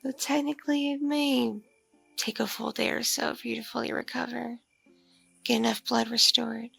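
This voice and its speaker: A woman voicing a seductive, doting mature vampire in an intimate ASMR roleplay, husky and murmuring, slipping into whispers. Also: a little high, level tone, normal speed, a bit bright, soft background music, full character voice. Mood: doting, reassuring. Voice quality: husky, creaky.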